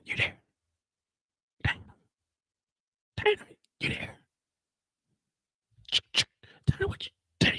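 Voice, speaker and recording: A man's voice: very high voice, gravelly, slightly rough, dry recording, pitched above the performer's own voice, exaggerated voice. A man voicing a nervous jailed man who whispers frantically into a smuggled phone. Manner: hushed, rushed whisper.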